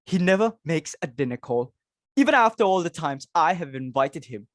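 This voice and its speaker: A woman's voice: sits low, animated, moderate pace, dry recording, clean studio sound. A woman playing a fussy, snobbish society hostess and mother in a dramatic reading of a stage comedy, speaking in a haughty, exclamatory way. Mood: fussy, disdainful.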